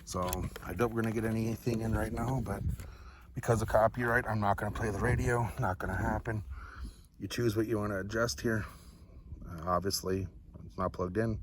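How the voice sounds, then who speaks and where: A man with a fairly low voice talks viewers through a product review, unscripted and casual. He rambles along in a laid-back, folksy manner, with clear background noise like that of a vehicle in the recording. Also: fairly even intonation, fairly quick.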